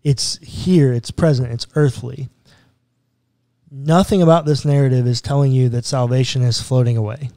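Pastor guy walking through scripture on a podcast, mellow low-key tone, dry close mic. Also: fairly even intonation, moderate pace, no room sound. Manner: earnest, explaining step by step with rhetorical questions. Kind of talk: teaching Bible podcast conversation. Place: small studio room with bookshelves. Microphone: boom mic close up.

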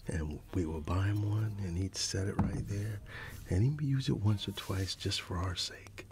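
A middle-aged man doing an ASMR roleplay, whispering and soft-spoken, reminiscing unhurriedly with quiet chuckles. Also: on the low side, measured pace, creaky. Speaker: ASMR creator. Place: dim indoor room. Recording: soft music in the background. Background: music.

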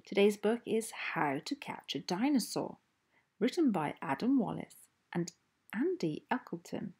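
A woman reading a children's storybook aloud in an expressive, deliberate way with emphatic phrasing. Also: mid-range voice, slightly rough. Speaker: host and children's storybook reader.

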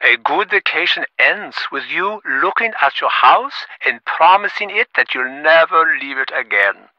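A man's voice: a little high, sing-song, measured pace, bright, forceful tone, no echo, dull audio, heavily acted. A man voicing a smug, impatient rich businessman in a video game, glib and self-important, with stammering, sarcastic delivery. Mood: smug, casual. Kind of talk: acted game dialogue.

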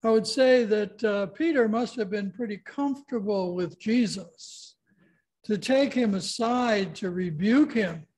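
A male pastor in his sixties leads a worship service from a church sanctuary. He speaks slowly as he guides listeners through scripture, heard through a webcam a short distance away. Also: high-pitched, darkish tone. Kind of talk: leading worship, introducing and reading scripture.

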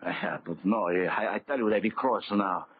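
An actor playing a greedy, furtive dockside villain in clipped, hesitant broken English, in 1930s radio horror style. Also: male voice, lowish voice, normal speed, husky. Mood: urging, sly. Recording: tinny, very noisy 1930s radio recording.

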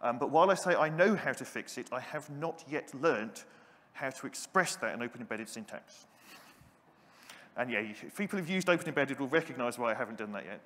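A man's voice: mid-range voice, animated, brisk, a bit bright. A middle-aged man giving an enthusiastic, self-deprecating technical keynote on a conference stage, with frequent ums. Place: large conference hall stage. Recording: hall with long reverb.

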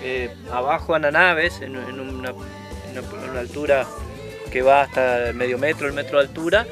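A man's voice: mid-range voice, fairly even intonation, brisk. A man in his forties with an Argentine accent, a nature guide explaining plants patiently with frequent hesitations, recorded outdoors on a forest trail with a lapel mic. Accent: Argentine Spanish. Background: music.

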